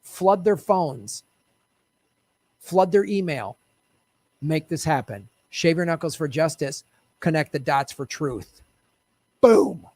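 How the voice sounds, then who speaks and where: A man hosting a political show, with a high voice that swings widely in pitch. He rants loudly and swears freely, calling viewers to action. The sound is dry and close. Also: lively intonation, slow, pauses often, dry recording. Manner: loud, profane ranting; talks straight to viewers, urging them to act. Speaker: host of a political commentary show. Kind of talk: fiery political commentary.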